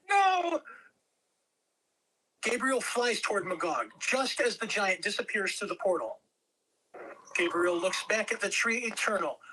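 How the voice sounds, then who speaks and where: A woman's voice: medium pitch, sharp tone, low-quality recording. A woman reading scripted narration at a table read, telling the action in a dramatic storytelling way. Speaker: script reader.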